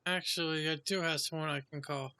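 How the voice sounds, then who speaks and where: Bright-voiced man playing a game. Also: a little high, steady tone, brisk, clear, resonant tone, no echo. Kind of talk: casual reactions while playing.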